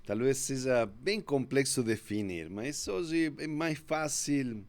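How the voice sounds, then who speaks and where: A man in his fifties from Córdoba, Argentina, with a strong Argentine Spanish accent, telling his life story as a podcast guest in a relaxed, reflective way. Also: mid-range voice. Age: 50s. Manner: unhurried, reflective storyteller, often restarts with "então". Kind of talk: casual storytelling interview.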